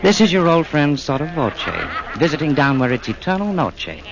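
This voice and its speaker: A chummy, wisecracking announcer narrating from the underworld in a 1940s radio comedy. He talks in a lively, joking rhymed patter, pitched a little high. The old broadcast sound is muffled. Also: moderate pace, vocal fry. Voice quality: jokey, chummy, rhyming.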